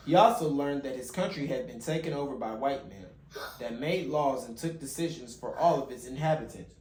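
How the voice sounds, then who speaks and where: A man's voice: mid-range voice, a little fast, darkish tone, a little hiss or hum. A man reading a children's picture book aloud as a father and host, now and then stumbling and rereading a phrase. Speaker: father hosting a children's read-along channel. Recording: roomy, echoey home recording.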